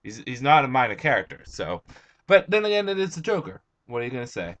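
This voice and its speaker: Groggy young guy reviewing comics, voice a bit hoarse, rambly, lots of uhs, mid pitch. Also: sing-song, quick pace, a bit bright, muffled recording. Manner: rambling, chatty, with frequent "uh" and restarts. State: hoarse, just woken up. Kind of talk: casual video review.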